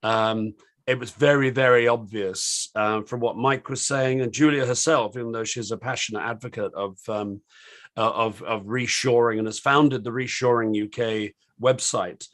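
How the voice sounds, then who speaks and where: A British man with a slightly croaky voice opens a panel discussion over a video call. He talks in a rambling, hesitant way, stopping and restarting with plenty of ums and uhs. His pitch sits in the middle range. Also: fairly even intonation, moderate pace, fairly bright tone. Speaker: host of an online panel discussion.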